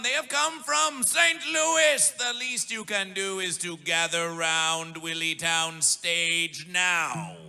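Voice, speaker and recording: Gravelly guy hyping a music fest, super piercing bright voice, slow pompous chanting, repeats himself, music playing behind. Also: sits high. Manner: bombastic, mock-ceremonial, repeats phrases. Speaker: festival master of ceremonies. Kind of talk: theatrical chanted stage announcements. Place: live music festival stage.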